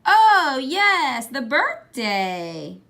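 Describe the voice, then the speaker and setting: A woman's voice: high-pitched, huge pitch swings, drawn-out words, gravelly, pushed and bright, pitched above the performer's own voice, exaggerated voice. A woman voicing a sly, playful grandmother in a picture book, with a vague, teasing manner. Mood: feigned vagueness.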